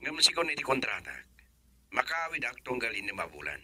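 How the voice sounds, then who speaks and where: A man playing a husky Ilocano boyfriend. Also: medium pitch, sing-song, a little fast, bright, forceful tone, dry recording, exaggerated voice. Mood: affectionate. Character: young man working abroad, playful boyfriend. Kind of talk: radio drama acting.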